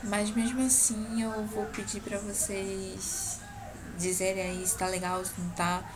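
A woman's voice: mid-range voice, level tone, a little slow, poor audio. A young woman from Brazil, nasal from a cold, chatting casually about her books on a webcam in her bedroom, often checking in with her viewers. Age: young adult. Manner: chatty, keeps adding "tá?" checks. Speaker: book blogger. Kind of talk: casual vlog book show-and-tell. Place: small bedroom at home. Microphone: webcam at close range. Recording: neighbours' music heard behind. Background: neighbours' music playing.

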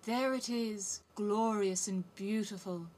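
A woman voicing a gentle captive male reindeer in a storybook, drawn-out and wistful. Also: mid-range voice, natural voice. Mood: dreamy, wistful. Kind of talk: storybook character voice.

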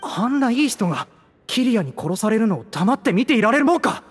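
A woman voicing a hot-headed, loyal young male mercenary in an anime game, with impassioned, stubborn, rough speech. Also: on the high side, big pitch swings, a little fast. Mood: agitated disbelief. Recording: roomy reverb, faint music behind.

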